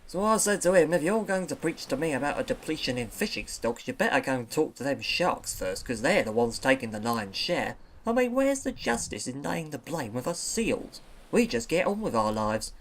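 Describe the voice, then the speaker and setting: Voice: mid-range voice, big pitch swings, normal speed, hardly any pauses. Mother seal, put on by a voice actor, ranting, preachy, swoops up and down. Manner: ranting, opinionated, politically preachy. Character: grumpy opinionated mother seal.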